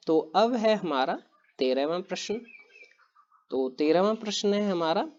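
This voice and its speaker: Guy doing exam prep, reads question then answer, says it twice, keeps clearing throat, dull lo-fi sound. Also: sits high, unhurried. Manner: steady and plain, repeats each answer, frequent throat clearing. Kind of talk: reading quiz questions and answers aloud.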